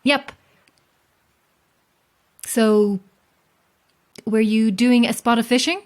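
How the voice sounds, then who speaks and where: A solitary Irish lighthouse keeper in an audio horror drama. She is calm and watchful, with a dry wit and a measured, understated delivery. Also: fairly high pitch. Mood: friendly, helpful. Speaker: lighthouse keeper and narrator of a tale.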